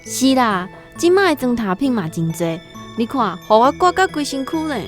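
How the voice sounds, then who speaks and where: A woman acting in a Taiwanese radio drama as a frivolous, flattering young society miss who gushes. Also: on the high side, normal speed. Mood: gushing. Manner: gushing, flattering. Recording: music and jingles behind voice.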